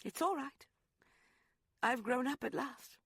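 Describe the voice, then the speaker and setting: A man voicing a proud, hurt young woman in an audiobook, pleading and sharp. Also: extremely high pitch, lively intonation, slow, vocal fry, slightly rough, no room sound, clean studio sound, pitched above the performer's own voice, heavily acted. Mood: wounded. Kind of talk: audiobook character voice.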